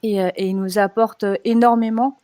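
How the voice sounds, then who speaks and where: A woman's voice: mid-range voice, steady tone, fairly quick, mellow, no room sound, studio quality. A woman from animation production explaining her working process during a panel discussion.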